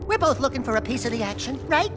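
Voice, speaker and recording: A brash, squeaky furry sidekick. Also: male voice, very animated. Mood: boastful. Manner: brash, boastful, quick-talking. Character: wisecracking furry male animal sidekick. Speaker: game character.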